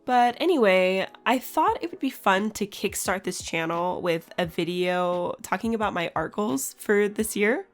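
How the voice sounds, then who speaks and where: Raspy-voiced young woman, chatty vlog. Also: mid-range voice, lively intonation, measured pace, hardly any pauses, soft background music. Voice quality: raspy, scratchy. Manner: chatty, laughs often. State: just woke up. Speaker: art channel host. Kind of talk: casual vlog voice-over.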